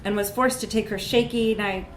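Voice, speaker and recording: A woman's voice: mid-range voice, fairly even intonation, moderate pace, reverberant, slight background noise. A woman reads a comic short story aloud at a live event, with wry, emphatic narration. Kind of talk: animated literary narration, live reading.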